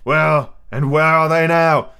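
A man's voice: fairly high pitch, fairly even intonation, slow pace, sharp tone, clear, resonant tone, pitched above the performer's own voice, exaggerated voice. A man reading an audiobook, voicing a cunning, boastful, domineering pirate cook with emphatic rustic sailor talk. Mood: fierce, emphatic. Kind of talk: audiobook character acting.

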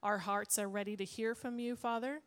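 A woman pastor with a quiet voice taking the offering and praying over a women's gathering. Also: on the high side, a little fast, smooth. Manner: quiet voice, steady. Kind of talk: offering talk and prayer. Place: church hall with audience.

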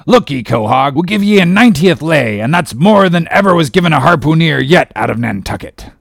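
A man voicing a gruff, blustering old whaling captain from an audiobook, with loud, exclamatory archaic nautical speech. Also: fairly high pitch, big pitch swings, moderate pace, dry recording, studio quality, pitched above the performer's own voice, exaggerated voice. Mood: urgent.

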